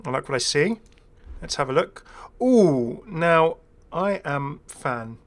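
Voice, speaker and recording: A man's voice: a little high, lively intonation, slow pace. Chatty, enthusiastic man rambling through game commentary. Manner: chatty, enthusiastic, self-deprecating. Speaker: gaming video creator. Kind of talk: casual rambling first-impressions game commentary.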